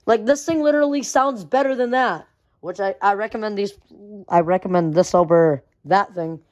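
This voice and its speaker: Young hobby vlogger, mid-range pitch, informal, slangy, repeats phrases, off-the-cuff show-and-tell. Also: moderate pace, a little mellow, highs cut off. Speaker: vlogger showing a weather radio collection.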